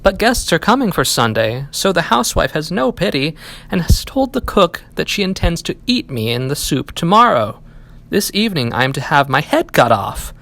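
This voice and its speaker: A man's voice: a little high, very animated, moderate pace, vocal fry, fairly bright tone, heavily acted. A man voicing an aggrieved, talkative farmyard rooster in a storybook, complaining about his lot. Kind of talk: storybook character reading.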